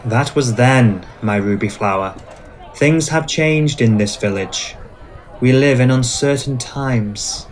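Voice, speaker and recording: Casting: male actor for ancient dominant vampire, suspicious, formal and commanding, mid-pitched voice, for ASMR roleplay. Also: a little hiss or hum, lightly acted. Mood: grave, cautious. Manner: formal, measured, commanding phrasing. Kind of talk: scripted ASMR roleplay acting.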